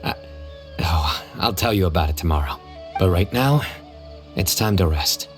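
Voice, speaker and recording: A solemn young male warrior from a video game, speaking in a low voice. His delivery is grave and deadpan with dry humour, over background music. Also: normal speed. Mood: amused, gentle.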